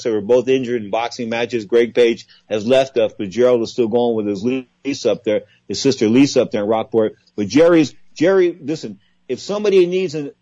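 A man's voice: mid-range voice, warm tone, no room sound, highs cut off. A man in his sixties hosting a boxing radio show, effusive and sentimental, repeating his phrases for emphasis. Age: 60s. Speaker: radio talk show host.

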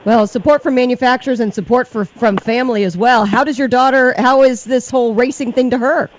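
A man co-hosting an interview, lively and teasing in his questions. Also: extremely high pitch, brisk, low-quality recording. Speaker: co-host.

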